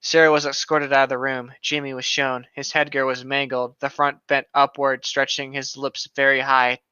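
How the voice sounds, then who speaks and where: A man's voice: medium pitch, flat delivery, moderate pace, sharp tone, smooth, dry recording, highs cut off. A man co-hosting, reading a story aloud with casual, sweary commentary and stopping to correct himself. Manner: sweary, self-correcting reader. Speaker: co-host.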